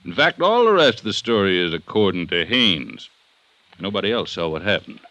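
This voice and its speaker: A man playing an assertive district attorney in an old-time radio detective drama, speaking with deliberate emphasis. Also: sits low, very animated, bright, forceful tone, no echo, exaggerated voice. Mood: matter-of-fact. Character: assertive Southern district attorney building a murder case. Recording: vintage radio drama recording.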